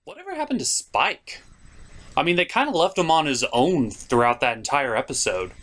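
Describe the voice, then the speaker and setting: A man's voice: fairly high pitch, animated, moderate pace, a bit bright. A man hosting a cartoon review, delivering scripted comedic narration with theatrical, sarcastic asides. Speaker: cartoon reviewer, show host.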